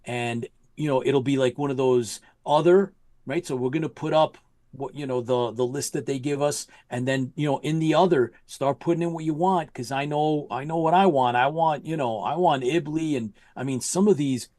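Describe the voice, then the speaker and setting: A man's voice: on the high side, normal speed, darkish tone, no room sound, clean studio sound. A man hosting a casual live web show, talking in a loose, rambling way with many fillers and restarts. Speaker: show host. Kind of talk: casual livestream chat.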